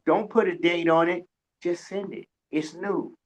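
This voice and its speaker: Slow-paced man hosting a radio chat. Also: high-pitched, steady tone. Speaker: radio show host. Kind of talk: casual interview chat.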